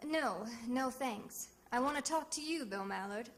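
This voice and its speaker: An actress playing a tough, wisecracking female private detective in an old-time radio drama, brisk and sardonic. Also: high voice, lively intonation, a bit bright. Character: wry, tough female private detective narrating her case. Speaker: radio drama lead and narrator.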